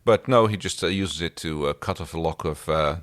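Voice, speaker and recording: A man with a deep voice chats casually as a podcast co-host. He feels his way through sentences with hesitations, in a dry recording without room sound. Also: fairly quick, a bit bright, no room sound. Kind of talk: casual TV episode recap chat.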